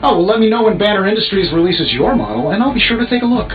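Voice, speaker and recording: An actor playing a cocky superhero inventor in a video game, sarcastic and quippy. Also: male voice, high voice, very animated, quick pace. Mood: cocky, teasing. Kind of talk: video game character dialogue. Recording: slightly muffled, music behind.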